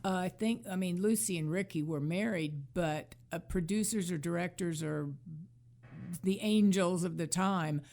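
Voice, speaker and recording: A woman's voice: on the low side, measured pace, vocal fry, darkish tone. A woman co-hosting a podcast, chatting casually and thinking aloud about old television. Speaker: podcast co-host. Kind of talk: casual chat, nostalgic TV commentary.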